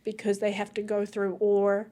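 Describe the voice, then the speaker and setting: A woman's voice: mid-range voice, monotone, moderate pace, mellow. A woman from Iraq, a guest on a podcast, speaking earnestly and reflectively in a casual conversation.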